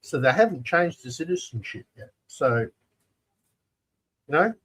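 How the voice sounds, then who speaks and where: A man's voice: fairly high pitch, sing-song, unhurried, a bit bright, husky. A man co-hosting a live chat show, speaking emphatically and repeating key numbers to drive them home. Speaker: co-host. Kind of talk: casual chat.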